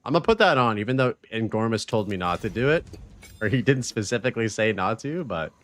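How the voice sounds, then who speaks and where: Excitable man in his thirties streaming a game. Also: on the low side, fast. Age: 30s. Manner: excitable, swears, slangy, talks to chat. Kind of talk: casual live-stream chat while gaming.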